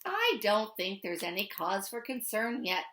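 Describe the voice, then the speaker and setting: A woman voicing a calm, affable scientist father in a storybook, with emphatic reassurance. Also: mid-range voice, a little slow. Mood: reassuring. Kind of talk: storybook character reading.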